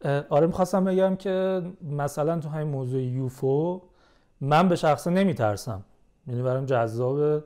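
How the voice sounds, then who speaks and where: Mellow, higher-voiced man chatting casually. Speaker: podcast guest. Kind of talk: casual explanatory chat.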